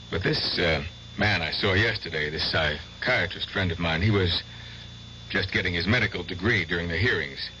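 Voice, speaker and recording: Earnest, deep-voiced young doctor. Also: male voice, bright, forceful tone, exaggerated voice. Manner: hesitant, measured, conciliatory. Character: earnest young male doctor, persistent and tactful. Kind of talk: radio drama acting. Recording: muffled old radio broadcast, faint noise.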